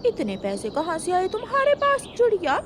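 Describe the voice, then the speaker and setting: A woman voicing a bossy crow wife in a cartoon, scolding and nagging loudly. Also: very high voice, wildly swooping pitch, normal speed, noisy background, voice pushed up, heavily acted. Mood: nosy.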